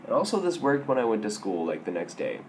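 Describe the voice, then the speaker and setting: A young man with a raspy, hoarse voice from a sore throat, telling stories in a casual, self-mocking vlog. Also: lowish voice, very animated, fast, slight background noise. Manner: self-mocking, wry, rambling with restarts. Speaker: vlogger.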